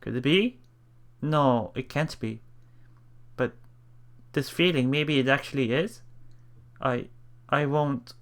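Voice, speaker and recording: A man reading a visual novel aloud for a Let's Play, expressive and dramatic in first-person narration. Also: mid-range voice, takes it slowly, pauses often. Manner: expressive, dramatic, with murmured reactions.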